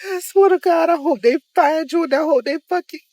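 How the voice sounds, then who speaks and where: Woman, crying, distraught, cursing, very high voice, dry close sound. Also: a little fast, a little mellow, dry recording. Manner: distraught, cursing, repeating herself. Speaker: mother. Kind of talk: emotional vlog rant.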